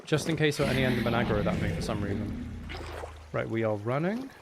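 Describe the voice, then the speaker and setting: A man streams a video game with casual live commentary. His pitch moves around a lot, giving him an animated, playfully exasperated delivery. There is clear noise behind his voice. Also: fast talker. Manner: animated, exclaiming, playfully complaining.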